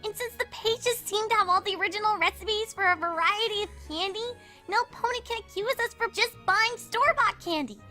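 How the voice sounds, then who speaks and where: A woman's voice: very high voice, a little fast, bright, forceful tone. A woman playing an eager, bubbly young filly in a dramatised pony fan story. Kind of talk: dramatised fan fiction audio. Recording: music behind the voice.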